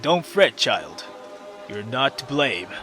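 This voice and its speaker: Gentle old priest in a visual novel, slow and soothing, voiced by a man. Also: mid-range voice, very animated, faint music behind, exaggerated voice. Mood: reassuring. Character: kindly old male priest, solemn.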